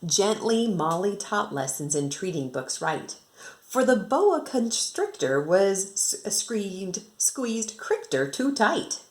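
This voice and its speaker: A woman's voice: medium pitch, big pitch swings, slow. An American woman working as an elementary teacher, reading a picture book to children expressively and rhythmically, with casual explanatory asides. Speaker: second grade teacher reading aloud to pupils.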